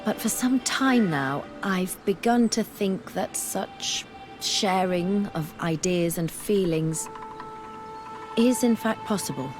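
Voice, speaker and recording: A woman's voice: mid-range voice, expressive, over music. Earnest scholarly young woman. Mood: reflective, warm. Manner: measured, formal, thoughtful phrasing. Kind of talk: video game dialogue acting.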